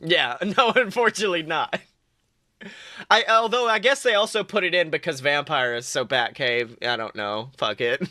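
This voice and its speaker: A man's voice: a little high, moderate pace, sharp tone, no echo, studio quality. A man hosting a podcast, chatting casually in a joking, offhand way and swearing now and then. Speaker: podcast host. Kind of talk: casual comedic chat.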